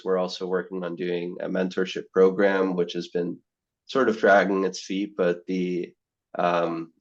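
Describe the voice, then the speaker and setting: A young man with a very low voice talks in a near-monotone on a podcast, looping through "you know" and false starts as he answers. The audio is a little muffled and lo-fi. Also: gravelly. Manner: rambling, full of "you know" and restarts. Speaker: guest, medical student. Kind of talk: casual podcast interview.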